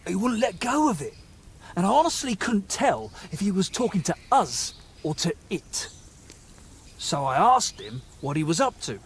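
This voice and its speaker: A gullible young medieval bandit for a game. His voice is high and very animated in pitch. He stammers, hesitates and gets worked up easily, with faint noise in the background. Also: heavily acted. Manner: hesitant, stammering, excitable, colloquial. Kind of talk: video game dialogue acting.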